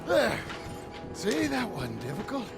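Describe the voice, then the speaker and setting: A rough American outlaw in a game cutscene. The voice is gravelly and fairly high for a man, swinging widely in pitch. He drawls with folksy, mock-polite menace over music. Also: huge pitch swings, measured pace, full character voice. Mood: sardonic, calm. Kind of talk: video game cutscene acting.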